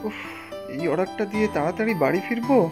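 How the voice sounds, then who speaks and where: A man's voice: high voice, animated, fast, loud music behind the voice. An actor playing a polite, humble young delivery boy in a cartoon, respectful and earnest. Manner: pleading, respectful. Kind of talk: animated cartoon dialogue.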